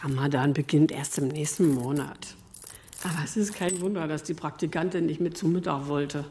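An actress playing a chatty tax office worker complaining about budget cuts. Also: female voice, deep voice, normal speed, noisy background. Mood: indignant. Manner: indignant, chatty.

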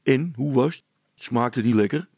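A man's voice: mid-range voice, unhurried, darkish tone, breathy edge, dry recording, voice pushed up. A man voicing a simple, bumbling village policeman in a storybook, blunt and muddled. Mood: matter-of-fact. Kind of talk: dramatized storybook reading.

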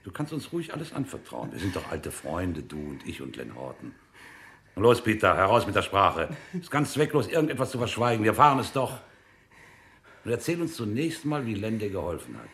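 An actor playing a sly, bullying military interrogator in a radio drama, mock-friendly and then sharply commanding. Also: male voice, lowish voice, sing-song, fairly quick, gravelly, fairly bright tone, exaggerated voice. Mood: menacing, insistent.

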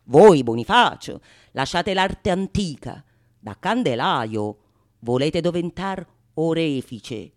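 A woman's voice: deep voice, lively intonation, slow pace, a little mellow. A woman playing a witty, teasing male painter in a theatrical radio monologue, sly and fond of wordplay. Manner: sly, mock-impressed, theatrical.

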